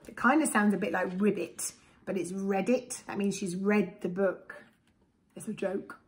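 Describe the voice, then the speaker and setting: A woman in her forties with an English accent, reading a rhyming picture book to young children in an expressive, deliberate way, with simple asides. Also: medium pitch. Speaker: preschool storyteller. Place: small indoor room with bookshelves. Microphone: camera a short distance away.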